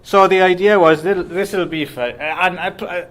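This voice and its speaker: A man's voice: high-pitched, fairly even intonation, moderate pace, fairly bright tone. A man co-hosting a casual movie podcast, talking with dry, self-mocking humor. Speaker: podcast co-host and author. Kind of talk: casual chat.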